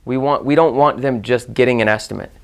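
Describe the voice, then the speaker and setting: Male sales trainer, mid-pitched, instructional delivery with demonstrated call lines, restates points for emphasis. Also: fairly quick, vocal fry. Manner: repeats and rephrases for emphasis.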